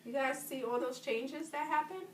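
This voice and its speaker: Higher-voiced woman reading aloud, roomy noisy recording. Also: moderate pace. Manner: prompting, questioning, affirming the children's answers. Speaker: teacher reading aloud to children. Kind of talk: interactive read-aloud lesson with questions to children. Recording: roomy, distant mic, clear background noise.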